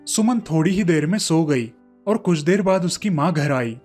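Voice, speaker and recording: Give the voice of a man telling a children's story from a script. His pitch sits a little high for a man. Light music plays softly behind him. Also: lively intonation, moderate pace. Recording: faint music under the voice. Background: soft background music.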